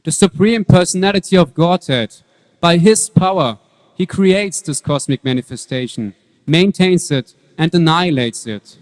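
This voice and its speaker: Man playing a devout, high-voiced prince in a hall. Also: expressive, lightly acted. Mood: calm, fearless. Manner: earnest, measured preaching. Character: devout, fearless boy prince, calm and wise. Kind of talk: dramatic scripture reading on stage. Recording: long hall reverb.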